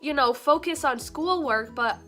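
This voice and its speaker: A young woman vlogger talks in a chatty, excitable way, with a voice sitting a little high for her. A recent cold leaves her sounding slightly nasal. Light music plays softly behind her. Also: unhurried. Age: young adult. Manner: bubbly, chatty, frequent fillers and laughs. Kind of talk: casual vlog chat.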